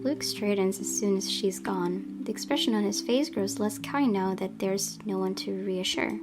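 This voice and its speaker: Woman narrating over clear game music. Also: mid-range voice, moderate pace. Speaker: gamer reading a visual novel aloud. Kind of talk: expressive story narration.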